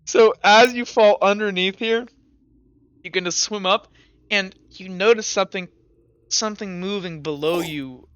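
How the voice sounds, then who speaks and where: Bright-voiced tabletop game master. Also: mid-range voice, clean tone, no echo. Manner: descriptive, trailing narration with many fillers. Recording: dull lo-fi recording.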